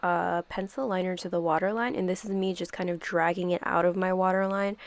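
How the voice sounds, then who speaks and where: Stuffy-nosed young woman doing a makeup tutorial, chatty, says like a lot, kinda low voice. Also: steady tone, fairly quick, no echo. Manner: chatty, lots of "like" and "kind of". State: congested from a cold. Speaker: makeup YouTuber. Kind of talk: casual makeup tutorial voice-over.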